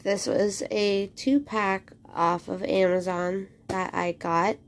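A woman's voice: medium pitch, slow pace. A woman chatting casually as she unboxes craft kits at home, a little congested from a cold, rambling with plenty of ums. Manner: relaxed, rambling with many ums. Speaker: crafting vlogger. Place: indoor craft room at home.

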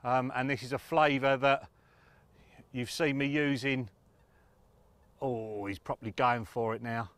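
A middle-aged man presents angling tips to camera from a lakeside bank. His voice is mid-pitched and he explains things informally and plainly. The sound is dry, with no room echo. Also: fairly even intonation, a little slow, long pauses, fairly bright tone, clear, resonant tone. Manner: matter-of-fact, explanatory.

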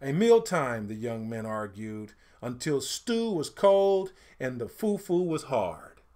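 A middle-aged man reading a picture book aloud as an expressive storyteller, with deliberate, emphatic phrasing that falls away at phrase ends. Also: mid-range voice. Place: indoors, small home studio room.

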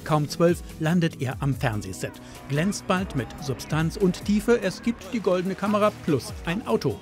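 A man's voice: a little high, animated, normal speed. A man reading a scripted, wry voice-over for a television profile. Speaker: voice-over narrator. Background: music bed.

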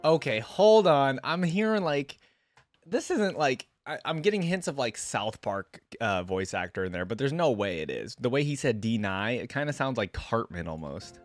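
A man's voice: mid-range voice, very animated, normal speed. A young man giving amused, informal reaction commentary into a close desk microphone indoors. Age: young adult. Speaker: reaction video host. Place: indoor streaming setup. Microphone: close desk microphone with headphones.

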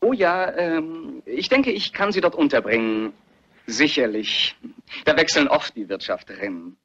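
A fussy salesman of an employment agent for radio drama. His voice is highish and husky, with very lively swoops. The manner is salesmanlike and the audio slightly dull. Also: a little slow, heavily acted. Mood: obliging. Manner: obliging, effusive, salesmanlike. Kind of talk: German radio crime drama acting.

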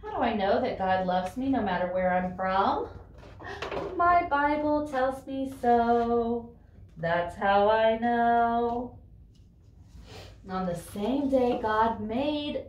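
Slow, high-voiced woman teaching a toddler. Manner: simple, repetitive, child-directed speech. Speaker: children's Sunday school teacher. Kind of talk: singing and talking to a toddler in a Bible class. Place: small indoor classroom with drop ceiling. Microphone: camera across the table, off to the side. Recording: roomy, distant mic with clear background noise.

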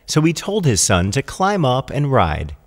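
A man narrating a scripted storybook tale in an expressive, deliberate storytelling manner. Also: medium pitch. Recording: long hall-like reverb.

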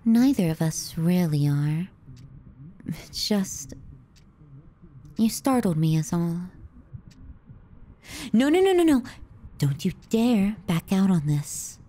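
A woman playing a teasing, possessive, sardonic younger sister in an intimate ASMR roleplay, speaking softly and breathily, often near a whisper, close to the mic. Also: fairly low pitch, sing-song, slow pace, pauses often. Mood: concerned, insistent.

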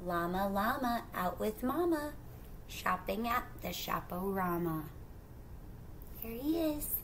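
A woman's voice: mid-range voice, slight background noise. A middle-aged woman reading a children's picture book aloud expressively, with casual asides to her young listeners. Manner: expressive, drawn-out rhyming phrases, questions to the listener. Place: small indoor room at home.

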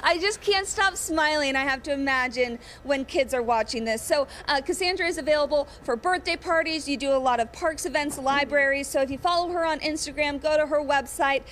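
High-pitched woman morning TV reporter. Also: a little fast, hardly any pauses, a bit bright. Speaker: TV field reporter. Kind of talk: live morning-show interview. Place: small cluttered classroom. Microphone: handheld microphone.

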